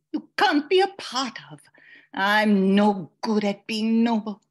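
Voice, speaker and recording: A woman playing a noble, melodramatic lost love in an amateur space-noir radio play. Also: fairly high pitch.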